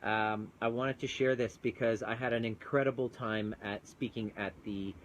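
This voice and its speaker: A man's voice: lowish voice, fairly even intonation, normal speed, a little hiss or hum, poor audio. A man, a chiropractor turned coach, talking earnestly and chattily on a live phone video outdoors with mountains in view. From Canada. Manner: earnest, chatty, greets viewers mid-thought. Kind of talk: live-streamed casual talk.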